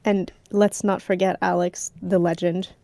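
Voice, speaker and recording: Gravelly-voiced woman, playful and dry. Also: fairly low pitch, flat delivery, mellow, no room sound. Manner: playful, quick to laugh, chatty. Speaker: stream co-host. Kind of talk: casual chat.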